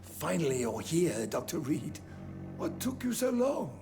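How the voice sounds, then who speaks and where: An actor playing a dying, rich and ruthless old British industrialist in a video game, with a pompous, theatrical, formal delivery. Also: male voice, a little high, sing-song, a little slow, faint music behind. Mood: impatient. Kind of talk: video game dialogue acting.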